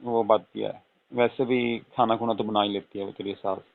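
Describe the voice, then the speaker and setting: Male actor as soothing middle-aged dad on a phone call, lowish voice, coaxing and repetitive, thin narrow-band sound. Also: little pitch movement, moderate pace, vocal fry. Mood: casual, explaining. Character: soothing, indulgent father of a married daughter. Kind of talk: staged phone conversation in casual Hindi.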